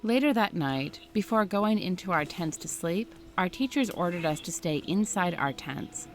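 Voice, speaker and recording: A woman reading a first-person story aloud in a steady, scripted narration style. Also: on the low side, normal speed, faint music behind.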